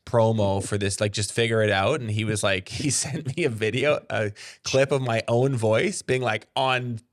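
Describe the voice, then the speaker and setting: A man's voice: on the low side, normal speed, dry recording. Informal male podcast host, dry sound. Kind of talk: casual interview chat.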